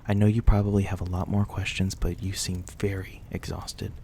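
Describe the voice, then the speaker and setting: Guy acting as a soft spoken reclusive vampire, really deep voice, polite n soothing asmr roleplay, noisy ambience behind him. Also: flat delivery, normal speed, vocal fry. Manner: soft, reassuring, politely old-fashioned. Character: gentle, courteous reclusive young-looking male vampire host, 174 years old.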